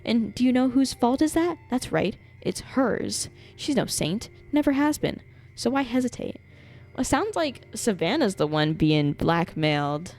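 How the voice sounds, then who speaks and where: A woman's voice: on the high side, unhurried. A woman live-streaming a game, reacting expressively and reading game text aloud dramatically. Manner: expressive, sweary, incredulous reactions. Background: game music.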